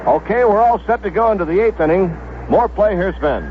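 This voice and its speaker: A man's voice: high voice, expressive, a little fast. Man on muffled old ballgame radio. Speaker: baseball radio announcer. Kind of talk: live sports broadcast patter. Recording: muffled, noisy 1970s AM radio broadcast.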